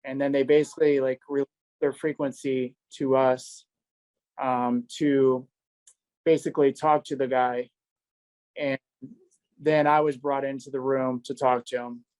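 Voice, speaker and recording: A man with a medium-pitched voice gives a first-person account in a conversational interview style. His intonation stays fairly level, and his wording is informal, like a controller telling what happened on shift. The sound is dry, with very little room around the voice. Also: flat delivery, measured pace, long pauses, darkish tone, clean tone, dry recording, low-quality recording. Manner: informal, quotes dialogue as he retells. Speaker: air traffic controller recounting an incident.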